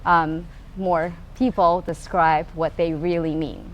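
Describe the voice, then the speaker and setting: A woman's voice: lowish voice, flat delivery, slow pace. A woman in a casual conversation at a tasting, explaining earnestly with many fillers. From United States. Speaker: co-founder of a taste and smell group. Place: tasting room in a tea factory. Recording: faint room noise.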